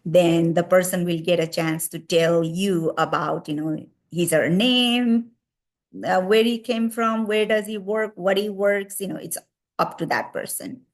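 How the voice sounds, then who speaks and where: A woman's voice: lowish voice, unhurried. A woman in her thirties from Nepal with a Nepali accent, giving casual advice and leaning often on "you know" and "like.". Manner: heavy use of fillers "you know" and "like".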